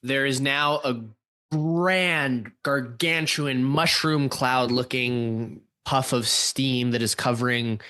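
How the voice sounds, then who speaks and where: A man narrating an improvised drama as a podcast performer, with deliberate, grandiose phrasing. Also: medium pitch, sharp tone.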